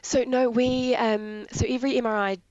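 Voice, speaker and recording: A woman from New Zealand with a raspy voice from being sick, eagerly explaining her work in veterinary anaesthesia in a casual interview. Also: a little high, flat delivery, normal speed, a little mellow, no echo, highs cut off. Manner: enthusiastic, explains jargon in plain terms. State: raspy voice from illness.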